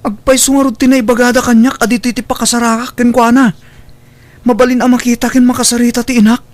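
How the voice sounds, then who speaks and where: A man's voice: extremely high pitch, little pitch movement, fast talker, dry recording. A man playing a devoted, earnest young son in a radio drama, with a pleading manner. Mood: hopeful.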